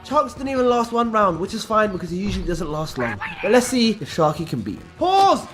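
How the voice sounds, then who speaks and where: A man's voice: sits high, sing-song, brisk, music in the background. A man narrating a video segment and promo plug with punchy delivery.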